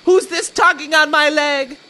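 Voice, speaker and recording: Shrill, fiendish old mad doctor. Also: fairly even intonation, unhurried, bright, forceful tone. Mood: outraged. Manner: theatrical, gloating, melodramatic. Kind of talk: campy audio comedy villain monologue.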